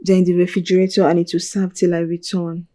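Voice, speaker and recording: An actress playing a wife gently coaxing her husband into favors. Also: lowish voice, flat delivery, quick pace, dry recording, studio quality, lightly acted.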